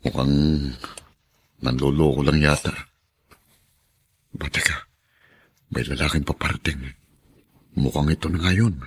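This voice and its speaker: A man acting a small-time drug pusher and pedicab-driver father in a Filipino radio drama, rough-voiced and husky. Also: extremely low pitch, unhurried, pauses often, heavily acted. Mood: wary, sly.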